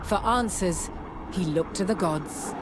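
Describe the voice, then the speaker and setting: A woman delivering scripted documentary narration in a measured, dramatic storytelling manner. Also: lowish voice, heavy background noise. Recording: music under the voice. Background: background music.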